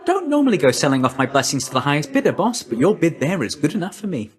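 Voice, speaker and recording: A man's voice: fairly high pitch, very animated, quick pace. A man voicing a breezy, chummy young messenger god in a video game, with a slangy, glib, friendly manner.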